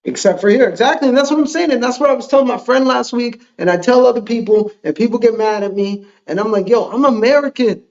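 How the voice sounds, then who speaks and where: A man's voice: extremely high pitch, fast talker, hardly any pauses, darkish tone, lo-fi sound. A man from the Dominican Republic whose first language is Spanish, chatting earnestly and rambling in a casual stream. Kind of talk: casual live-stream chat.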